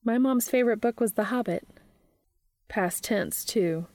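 Woman voicing a bright, eager teenage girl, high-pitched. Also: measured pace, no room sound. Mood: curious, gentle. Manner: chatty, upbeat, questioning. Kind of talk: audiobook character dialogue.

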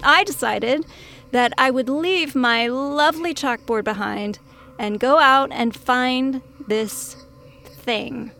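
An actress playing a brash, proud, eccentric woman scientist in audio fiction, deliberate, dramatic and self-assured. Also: high voice, a bit bright, exaggerated voice. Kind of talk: audio fiction monologue and dialogue.

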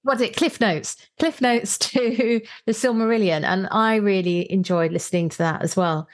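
A woman's voice: medium pitch, moderate pace, slight background noise. A woman hosting a podcast, chatting and explaining as she discusses books, thinking aloud as she goes. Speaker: podcast host. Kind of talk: conversational book review podcast.

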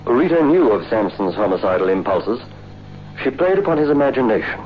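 An actor playing a sober man in a 1960s radio drama, speaking in a measured, grave way. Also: mid-range voice, sing-song, close to an everyday voice. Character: sober man explaining a family murder plot. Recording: dull old radio recording, faint music.

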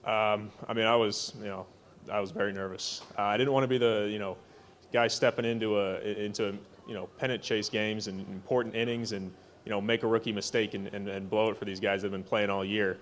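Young man, low voice, faint room noise. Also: fairly even intonation, fairly quick. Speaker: baseball player panelist.

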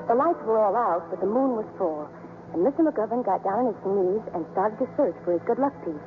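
A woman's voice: mid-range voice, expressive, fairly quick, a little hiss or hum, close to an everyday voice. An American actress playing a wry woman who narrates the story in a 1950s radio drama. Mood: wry narration. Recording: tinny old radio broadcast with music.

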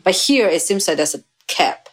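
A woman's voice: lowish voice, lively intonation, moderate pace. A woman from Singapore, Hong Kong and mainland China, a chef talking casually as a podcast guest. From grew up in Singapore, Hong Kong and mainland China. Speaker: guest chef and restaurant owner.

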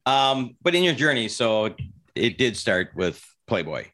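A man's voice: mid-range voice, big pitch swings, measured pace, a bit bright. A man hosting a podcast, asking questions and reacting in casual interview chat. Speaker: podcast host.

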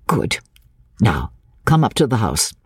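A woman narrator puts on an earnest, tactful clergyman from a storybook. He speaks low and slowly, courteous and persuasive, with music underneath. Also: very animated, breathy edge, dry recording, studio quality, exaggerated voice.

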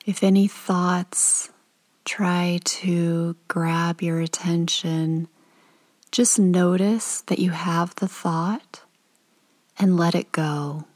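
A woman leading a guided meditation in a calm, gentle, soothing way, with soft and at times breathy delivery and pauses between instructions. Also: on the low side.

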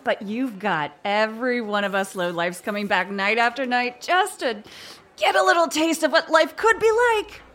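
An actress playing a hot-tempered, worn-down, hard-drinking bar regular in an audio drama, brash, dramatic and foul-mouthed. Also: female voice, a little high, a bit bright, lightly acted. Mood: wry, bitter.

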